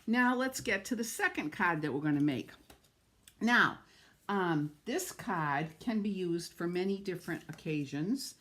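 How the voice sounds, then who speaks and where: A woman's voice: fairly low pitch, animated, a little slow, a bit bright. A middle-aged woman with a New England accent, chatting and gushing as she demonstrates card making on a live stream and greets viewers by name.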